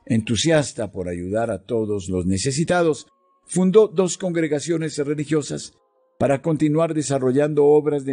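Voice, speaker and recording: A man narrates a devotional reading with a dark, mellow tone and a slightly husky edge. His delivery is solemn and measured, with pauses between phrases. Soft music plays faintly underneath. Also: medium pitch. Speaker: devotional reader. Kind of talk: slow scripted devotional narration.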